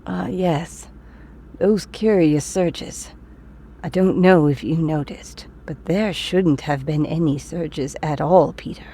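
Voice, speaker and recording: A woman's voice: sits low, takes it slowly, warm tone, breathy edge, heavily acted. A woman voicing an older, eccentric former science teacher in a comic dub, doting and teasing. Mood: knowing.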